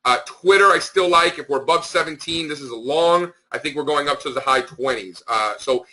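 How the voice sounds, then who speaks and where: A man's voice: fairly high pitch, a little fast, pushed and bright, low-quality recording. A man speaking informally as a market analyst on a conference call, with an emphatic, opinionated, slangy way of talking. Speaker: stock market analyst hosting a members call.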